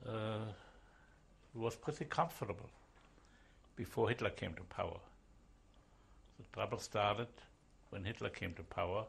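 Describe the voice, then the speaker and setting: An older man in his seventies speaks in a gravelly, husky voice that sits low. He answers questions haltingly, with pauses and fillers. He grew up in Switzerland and Germany. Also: fairly bright tone. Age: 70s. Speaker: interviewee. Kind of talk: oral history interview.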